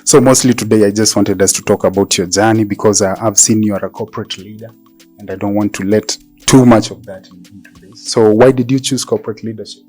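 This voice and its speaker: Halting, low-voiced male podcast host. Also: steady tone, darkish tone, breathy edge, soft background music. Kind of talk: conversational interviewing.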